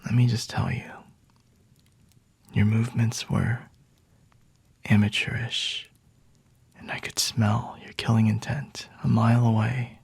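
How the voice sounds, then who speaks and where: Smug vampire lord, played by a man, low and husky, half-whispered, unhurried and teasing, for intimate ASMR roleplay. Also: little pitch movement, heavily acted. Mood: mocking, amused. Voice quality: breathy, husky, often whispering. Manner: unhurried, teasing, condescending, refined wording.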